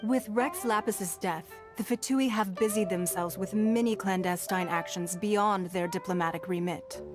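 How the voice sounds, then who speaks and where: A woman voicing a poised, shrewd and authoritative female ruler in a video game, speaking in a measured, formal manner. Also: mid-range voice, music in the background, close to an everyday voice. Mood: measured, firm. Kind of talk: video game character acting.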